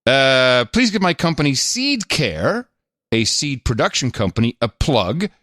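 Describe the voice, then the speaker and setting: A man's voice: medium pitch, unhurried, dry recording, clean studio sound. A man co-hosting a talk podcast, chatting casually, reading donor notes and joking around. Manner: animated, laughing, playful mock accents. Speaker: podcast co-host.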